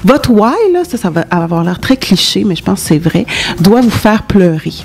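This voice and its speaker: A woman's voice: mid-range voice, animated, normal speed, darkish tone, faint music behind. A woman with a Québécois French accent giving conversational advice as an interview guest, speaking emphatically to stress key points. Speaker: entrepreneurship consultant, show guest.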